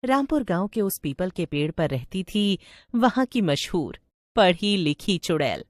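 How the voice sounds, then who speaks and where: A woman's voice: lowish voice, very animated, unhurried, dry recording, studio quality. A woman storyteller reading a scripted tale as narrator.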